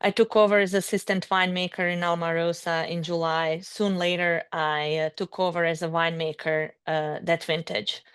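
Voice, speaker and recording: A woman from Bosnia with a Bosnian accent, a winemaker guest speaking friendly, slightly halting English on a video call. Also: on the low side, flat delivery, a bit bright, smooth, no echo, clean studio sound.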